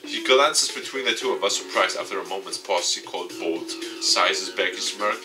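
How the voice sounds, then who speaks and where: Low-voiced man reading a game aloud. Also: normal speed, recorded from a distance. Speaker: video game YouTuber. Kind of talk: reading a visual novel aloud with commentary. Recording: off-mic, music behind.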